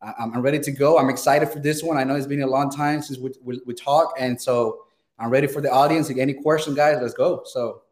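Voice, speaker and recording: A man's voice: medium pitch, fairly even intonation, darkish tone. Eager Venezuelan-accented man, stumbling restarts. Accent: Venezuelan Spanish. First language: Spanish. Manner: eager, quick restarts and repeated words. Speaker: guest, real estate sales professional. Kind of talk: casual podcast interview.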